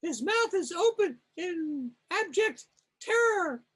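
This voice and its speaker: A woman with a very high voice describes scenes in a slow, improvised fashion. She sounds wry and amused, casual in her narration. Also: big pitch swings, fairly bright tone. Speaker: narrator of a comic reading.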